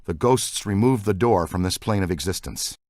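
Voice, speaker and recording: An actor playing an excitable, geeky male scientist who investigates the paranormal in a video game, earnest and full of technical jargon. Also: deep voice, normal speed, dry recording, clean studio sound. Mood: calm, serious. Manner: earnest, technical jargon, swings into boyish enthusiasm. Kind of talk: scripted game dialogue.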